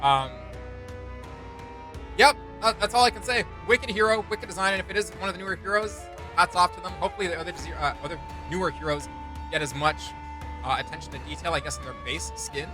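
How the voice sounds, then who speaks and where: A young man hosting a reaction video, chatting casually in an animated, rambling, slangy way. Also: high-pitched, fast, over music. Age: young adult. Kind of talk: casual enthusiastic reaction chat. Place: small indoor room with desk and shelves.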